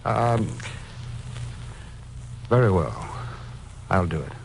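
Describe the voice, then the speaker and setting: An actor playing a timid, desperate victim in an old-time radio crime drama, hesitant and pleading. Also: male voice, deep voice, expressive, very slow, gravelly, full character voice. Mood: hesitant, cornered. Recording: noisy old radio recording.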